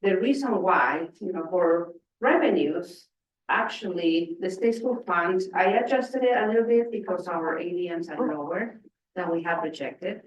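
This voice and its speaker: Woman, low voice, measured finance report, reverberant room, distant mic. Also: slight background noise. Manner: careful, explanatory, frequent fillers. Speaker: district finance presenter. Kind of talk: meeting report. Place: school meeting room.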